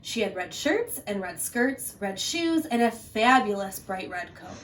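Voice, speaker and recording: A woman teacher reading a children's story aloud in an animated narrating style. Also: medium pitch, a little slow, distant mic.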